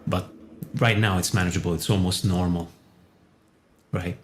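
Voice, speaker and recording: Low-voiced man chatting casually. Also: fairly even intonation, moderate pace. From Italy. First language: Italian. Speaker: livestream guest. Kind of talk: casual chat.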